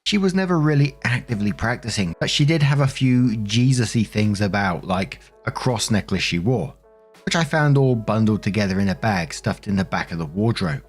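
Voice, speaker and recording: Male narrator, lowish voice, chatty story-reading host with casual asides, music underneath. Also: animated, normal speed, breathy edge. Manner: chatty, conversational. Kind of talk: reading online stories aloud with casual commentary.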